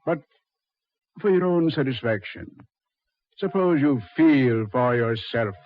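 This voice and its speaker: A man playing a suave, mocking Satan who ferries dead souls, unhurried and sardonically polite, in 1940s radio horror style. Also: mid-range voice, lively intonation. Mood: cool, commanding. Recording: dull 1940s radio recording.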